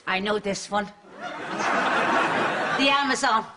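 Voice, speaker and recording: Slow, dim, self-assured woman. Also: mid-range voice. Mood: eager, confident. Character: dim, confidently wrong quiz know-it-all. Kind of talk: farcical TV sitcom acting. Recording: loud studio audience noise.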